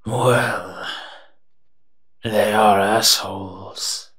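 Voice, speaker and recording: Monster companion played by a man: lowish, forceful voice, sardonic and menacing yet protective, for audio roleplay. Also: fairly even intonation, drawn-out words. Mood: teasing, amused. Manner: teasing, sardonic, muttering asides. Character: eight-foot male smoke monster, sardonic, menacing, protective. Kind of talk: scripted roleplay audio drama.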